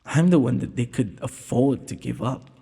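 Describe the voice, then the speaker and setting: A man's voice: medium pitch, animated, moderate pace, creaky, warm tone, husky, heavily acted. A man playing a shy, tender, self-doubting young prince in a romantic audio roleplay, speaking in hesitant, formal courtly phrases. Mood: earnest, reassuring. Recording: long hall-like reverb.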